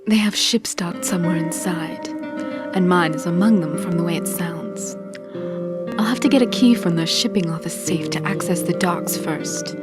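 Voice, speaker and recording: An actress as a low-voiced, brooding avenger woman over music. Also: normal speed, close to an everyday voice. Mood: resolute, bitter. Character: young woman seafarer, resolute, bitter, bent on revenge. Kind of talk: scripted narration for a game briefing.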